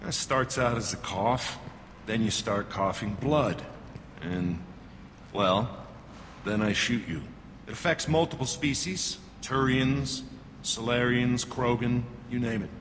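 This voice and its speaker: Game role: cynical alien quarantine guard, mid-pitched, dry and dismissive, reverberant with noisy ambience. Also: male voice, slow pace. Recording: reverberant, with loud background noise.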